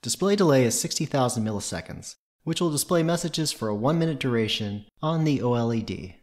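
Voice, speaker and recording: A man's voice: mid-range voice, animated, a little fast. A man presenting a tutorial, reading scripted technical narration in a methodical, matter-of-fact way.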